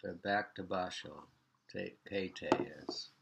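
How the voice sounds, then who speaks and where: An older man in his sixties reading poems aloud at home with informal, halting commentary, repeating lines and names. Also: low-pitched, steady tone, creaky, heavy background noise. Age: 60s. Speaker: poetry reader. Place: small living room at home.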